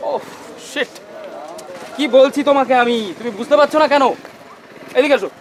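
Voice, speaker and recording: An actor playing an exasperated, bossy film director, impatiently drilling instructions with repeated words. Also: male voice, sits high, sing-song, brisk, slight background noise. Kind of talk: dramatic film dialogue.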